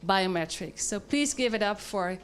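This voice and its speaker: A woman's voice: medium pitch, animated, moderate pace, clean tone. A woman moderating a live event as host, introducing speakers and taking questions.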